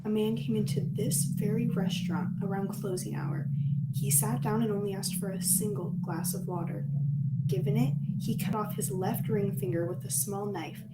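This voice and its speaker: A woman's voice: mid-range voice, steady tone, normal speed, far from the mic, natural voice. A young woman playing a restaurant worker who tells spooky tales in a radio play. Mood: ominous, mysterious. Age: young adult. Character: ominous restaurant worker telling a spooky tale. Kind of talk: radio theatre acting. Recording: very noisy, off-mic.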